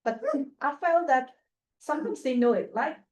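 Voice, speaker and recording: A woman's voice: high-pitched, normal speed. Chatty woman speaker, English not native. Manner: chatty, many fillers and restarts; laughs often, animated. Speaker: guest speaker, faculty member. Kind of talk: informal talk with slides.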